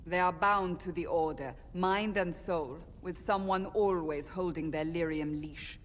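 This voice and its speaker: A principled warrior woman played by an actress speaks in a somewhat low voice with a firm, matter-of-fact formality. She is blunt and stern, in game-drama style, and the sound carries a long reverb. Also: a little slow, close to an everyday voice. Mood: grave, persuasive.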